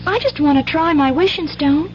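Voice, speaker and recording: A woman's voice: high voice, normal speed, lightly acted. An actress playing an earnest, stubborn little girl with a rural American accent in a radio drama. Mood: hopeful. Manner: earnest, childlike. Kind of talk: 1970s radio drama acting. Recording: dull old radio recording with hum.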